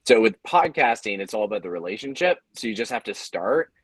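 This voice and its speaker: A man's voice: on the low side, big pitch swings, fairly quick, fairly bright tone, breathy edge, dry recording, poor audio. A man giving casual, encouraging explanations as a panelist, in a conversational way. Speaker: podcaster and audio creator, panelist.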